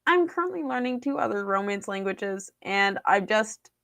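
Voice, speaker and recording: A woman's voice: medium pitch, lively intonation, moderate pace, gravelly, a bit bright, no echo. A young woman vlogging casually about her language learning, rambling with lots of fillers and restarts. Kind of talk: casual vlog chat. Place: small room indoors. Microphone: desk mic on boom arm.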